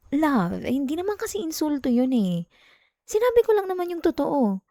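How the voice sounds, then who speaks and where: A woman's voice: high-pitched, very animated, normal speed, mellow, no room sound. A woman playing a clingy, jealous young girlfriend in an intimate ASMR roleplay, coaxing and whining close to the mic. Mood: defensive, jealous. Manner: coaxing, whiny, with sighs and hesitations. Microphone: close, dry microphone.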